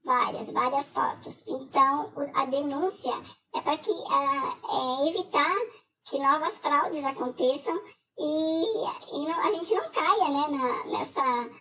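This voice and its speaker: A middle-aged Brazilian woman with a Brazilian Portuguese accent gives a personal testimony as a scam victim, talking haltingly with many filler hesitations. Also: high-pitched, bright, forceful tone, far from the mic, a little hiss or hum, poor audio.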